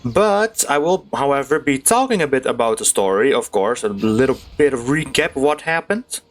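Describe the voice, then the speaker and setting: A woman's voice: a bass voice, expressive, moderate pace. A woman giving casual, chatty gameplay commentary in a laid-back, slangy way as she streams. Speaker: streamer playing a video game. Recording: faint game music behind.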